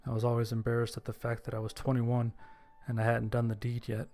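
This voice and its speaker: A man narrates a scripted first-person story. His voice is medium in pitch with little melody. The delivery is measured and understated, over faint background music. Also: monotone, darkish tone. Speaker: narrator and voice actor.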